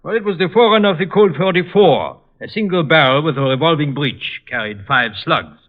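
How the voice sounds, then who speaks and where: An actor playing an earnest, pedantic police ballistics expert with precise, lecturing delivery in an old radio drama. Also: male voice, fairly high pitch, expressive, a little fast. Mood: fascinated. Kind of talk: 1940s radio drama acting. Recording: muffled old radio recording.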